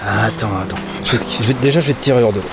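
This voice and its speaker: An actor playing a tired, anxious dockworker in a fantasy audio drama, with a hesitant, stammering way of talking. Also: male voice, medium pitch, very fast, noisy background, natural voice. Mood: alarmed, concerned. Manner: hesitant, stammering, muttering to himself. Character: weary, anxious male dockworker in a fantasy port.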